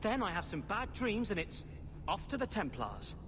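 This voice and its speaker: A man's voice: sits high, very animated, normal speed. An actor playing an indignant, petulant teenage boy in a video game cutscene. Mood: resentful, wary. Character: resentful, frightened teenage boy. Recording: clear ambient noise behind.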